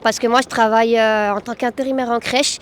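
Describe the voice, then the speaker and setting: A woman from France, a nursery temp, answers a street interviewer casually, with many fillers. Her voice sits a little high over a faint ambient hum. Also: fairly even intonation, fairly quick.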